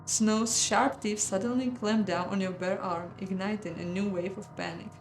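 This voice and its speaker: A woman's voice: medium pitch, fairly even intonation, moderate pace. Woman narrating a game in an echoey room. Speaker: game playthrough host. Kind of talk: reading a visual novel aloud with casual commentary. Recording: roomy echo, music behind.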